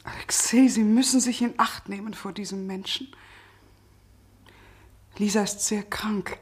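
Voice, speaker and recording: A caring, anxious gentlewoman of radio drama. Her medium-pitched voice is breathy and husky, and her delivery is hushed and earnest. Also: steady tone, a little slow. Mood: worried, grave. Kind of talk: German radio drama acting.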